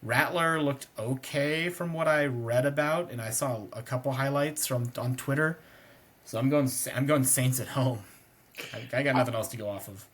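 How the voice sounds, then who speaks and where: A man co-hosting a sports podcast, hoarse and congested, talking in a relaxed, rambling way. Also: mid-range voice, moderate pace. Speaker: podcast co-host. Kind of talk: casual sports chat.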